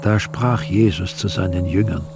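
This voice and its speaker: An older man playing a grave Evangelist, narrating scripture with solemn, measured delivery in a radio drama. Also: low voice, fairly even intonation, vocal fry, loud music behind the voice. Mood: grave, hushed. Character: grave old male Evangelist recounting the Passion.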